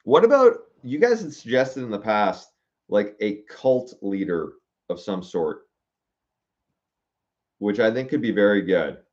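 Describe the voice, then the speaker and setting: A young man with a low-pitched voice chats casually on a livestream, picking through viewer suggestions. He muses aloud with plenty of ums and restarts. The sound is a little muffled and lo-fi. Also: big pitch swings, darkish tone. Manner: musing aloud, reading comments, halting with fillers. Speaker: streamer reading viewer suggestions.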